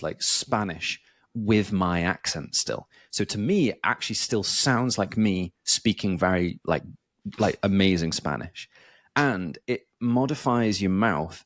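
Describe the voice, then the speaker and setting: Deep-voiced man chatting on a podcast. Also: no room sound, studio quality. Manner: rambling, filler-heavy, many restarts. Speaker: podcast host. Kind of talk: casual chat.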